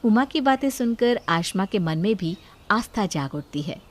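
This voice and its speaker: A woman narrates a scripted moral tale as a storyteller. Her voice sits at a middle pitch. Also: animated, moderate pace.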